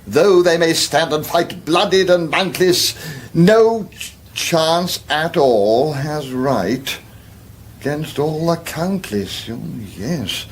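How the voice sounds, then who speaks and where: An actor playing an elderly, absent-minded, stubborn wizard in a comic fantasy radio drama, pompous and dithering. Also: male voice, on the high side, expressive, slow, slight background noise. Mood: excited, dithering.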